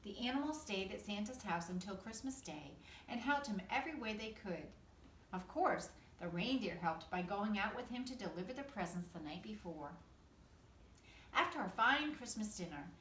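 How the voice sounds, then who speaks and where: Animated woman reading a storybook in an echoey room. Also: medium pitch, normal speed. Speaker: pre-kindergarten teacher reading aloud. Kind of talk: expressive children's storybook read-aloud. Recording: echoey room, distant mic, faint noise.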